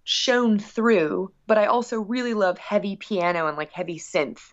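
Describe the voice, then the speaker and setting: A woman's voice: mid-range voice, moderate pace. Chatty woman on a dull, lo-fi recording. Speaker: musician guest. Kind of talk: casual interview chat.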